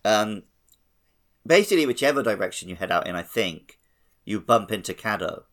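A man's voice: lowish voice, lively intonation, normal speed, fairly bright tone. A man hosting a podcast, talking casually and conversationally about video games. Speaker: podcast host. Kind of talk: casual video game chat.

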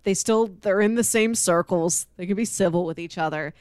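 A woman's voice: fairly low pitch, moderate pace, a little mellow, clear, resonant tone, no room sound. Playful woman chatting, dry close sound. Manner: playful, acts out paraphrased dialogue. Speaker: podcast co-host. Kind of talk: casual comic book discussion.

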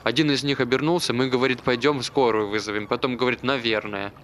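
A man's voice: medium pitch, fairly even intonation, quick pace, a bit bright, lightly acted. An actor playing an anxious, self-deprecating man, talking hesitantly and earnestly in naturalistic stage dialogue. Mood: earnest, wry. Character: anxious, self-deprecating man recounting his failed suicide. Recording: faint background noise.

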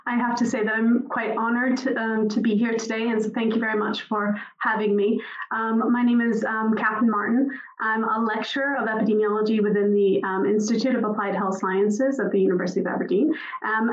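A woman's voice: on the high side, muffled recording. American woman with a Boston accent, roomy sound. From near Boston, United States. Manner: measured, frequent filler sounds. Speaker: epidemiology lecturer. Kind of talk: panel self-introduction.

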